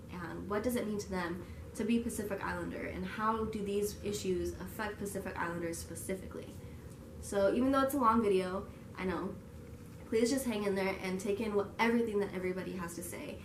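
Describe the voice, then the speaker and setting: A woman's voice: mid-range voice, noisy background. Woman host in an echoey room. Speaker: host and interviewer. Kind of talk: video intro and interview questions.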